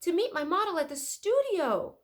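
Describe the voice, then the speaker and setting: A woman voicing an excitable, dreamy older male inventor and artist in a children's storybook, dramatic and emphatic. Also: extremely high pitch, normal speed, voice pushed up. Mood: flustered, urgent. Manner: emphatic, exclaiming. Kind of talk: children's storybook read-aloud.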